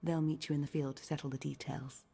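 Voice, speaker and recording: Low female voice, noticeable vocal fry, cool formal game spy, measured, controlled. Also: fairly even intonation, warm tone, faint music behind, exaggerated voice. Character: cool, calculating female intelligence agent. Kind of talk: video game voice acting.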